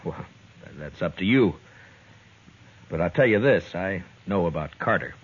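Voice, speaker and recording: Husky, low-voiced western lawman. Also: lively intonation, unhurried. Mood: stern, probing. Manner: blunt, commanding. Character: tough, gruff, no-nonsense frontier lawman. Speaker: actor in a radio western. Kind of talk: old-time radio western acting. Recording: dull vintage radio recording.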